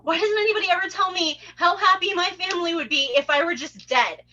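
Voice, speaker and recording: High voice, sharp bright edge, woman performing a volatile wounded young patient, film script table read, dull audio. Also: fast. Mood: bitter, agitated.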